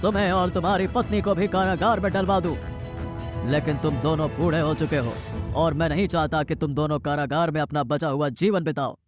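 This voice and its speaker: A stern king from a cartoon moral tale acted by a man. He declaims imperiously in a fairly high male voice. Music plays clearly underneath. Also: steady tone, a little fast, natural voice. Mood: angry, commanding. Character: stern, wrathful king giving orders. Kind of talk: cartoon story voice acting.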